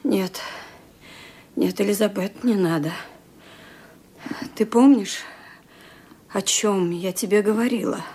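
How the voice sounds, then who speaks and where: An actress playing a frail, imperious old widow in a radio play, musing aloud in a deliberate, husky voice. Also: female voice, mid-range voice, a little mellow. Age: older.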